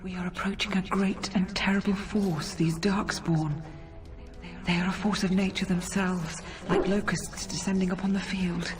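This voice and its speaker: An actor voicing an ancient forest spirit creature in a fantasy game, slow, solemn and poetic. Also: mid-range voice, flat delivery, over music, lightly acted. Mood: solemn foreboding. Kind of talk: video game cutscene acting.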